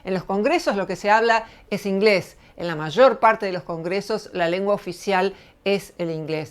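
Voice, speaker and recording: A middle-aged Argentine woman hosting her channel, giving a measured, thoughtful spoken essay. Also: medium pitch, smooth. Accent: Argentine Spanish. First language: Spanish. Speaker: channel host, lecturer. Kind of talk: reflective spoken essay to camera.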